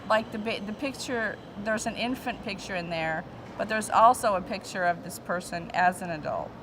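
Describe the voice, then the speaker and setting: A woman in her forties casually giving her opinion as an interviewee. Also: mid-range voice. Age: 40s. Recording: clearly noisy background.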